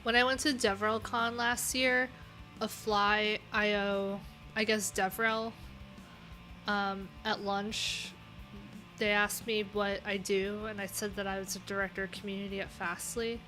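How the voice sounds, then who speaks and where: A woman's voice: mid-range voice, level tone, a little slow, bright, forceful tone, soft background music, low-quality recording. A woman hosting a casual coding livestream, talking candidly in a rambling way with lots of filler words. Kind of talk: casual live-stream chat.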